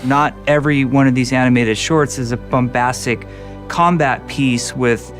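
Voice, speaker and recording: A man's voice: medium pitch, steady tone, moderate pace, faint music behind. A man talking enthusiastically about game storytelling in a behind-the-scenes documentary. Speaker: game developer interviewed. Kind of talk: documentary interview.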